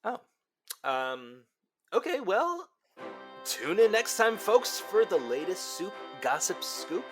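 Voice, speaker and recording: A man's voice: high voice, sing-song, takes it slowly, soft background music, exaggerated voice. A man playing a young college student hosting an amateur radio show in an audio drama, showy but prone to awkward hesitations. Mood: awkward showmanship. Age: young adult.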